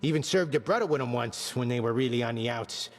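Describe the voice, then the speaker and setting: An actor playing a gruff, joking male skeleton commander who trains fighters and mixes lofty old words with slang, delivered deadpan. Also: mid-range voice, quick pace, in a large hall, close to an everyday voice. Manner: deadpan jokes mixing archaic and slang words. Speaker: combat trainer.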